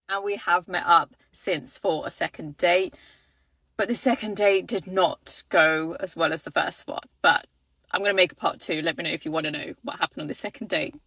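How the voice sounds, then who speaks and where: A woman's voice: fairly low pitch, fairly quick, a bit bright, husky, no room sound. A young woman telling a dating story in a casual, chatty storytime video, acting out what people said. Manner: chatty, quotes people in playful voices.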